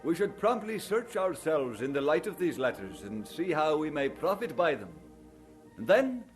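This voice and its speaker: A man's voice: a little high, sing-song, normal speed, faint music behind. An earnest minister and devoted husband in a vintage radio drama. His intonation swings widely and expressively, with a tender, heartfelt manner. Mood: earnest preaching. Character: earnest, tender Scottish immigrant pastor and husband. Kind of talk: old-time radio drama acting.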